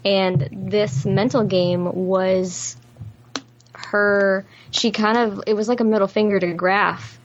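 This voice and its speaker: A woman's voice: medium pitch, steady tone, slow, a little hiss or hum, low-quality recording. A woman co-hosting a podcast, talking casually and conversationally about a film. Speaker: podcast co-host.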